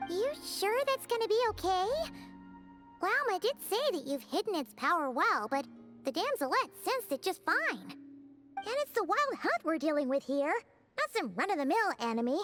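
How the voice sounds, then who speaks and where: Squeaky, very high-pitched excitable little fairy girl. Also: moderate pace, heavily acted. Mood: worried. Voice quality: squeaky, childlike. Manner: chatty, exclamatory, questioning. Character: tiny excitable fairy-like girl companion, curious and blunt. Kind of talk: English video game dialogue acting. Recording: music playing behind the voice. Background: background music.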